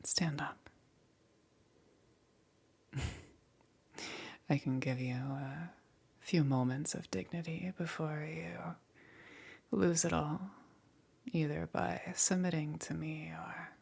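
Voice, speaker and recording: A menacing demon queen, played by a woman for ASMR roleplay, speaking in a very breathy, whispered voice with a gravelly creak. She takes her time with every phrase, extremely slow and coaxing, teasing and commanding at once. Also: sits low, steady tone, a little mellow, full character voice. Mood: teasing, condescending.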